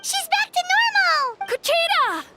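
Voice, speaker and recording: A small, loyal young girl in an anime-style game. Her voice is very high and animated, with slow, deliberate pacing and a plucky temper. Faint music sits behind it. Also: heavily acted. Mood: excited, urgent.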